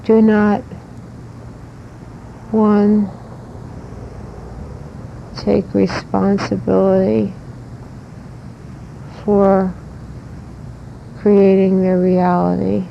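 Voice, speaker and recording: Very slow, creaky-voiced woman lecturing. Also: little pitch movement. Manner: deliberate, instructive, halting phrases. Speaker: spiritual teacher. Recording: muffled noisy old cassette tape with rumble.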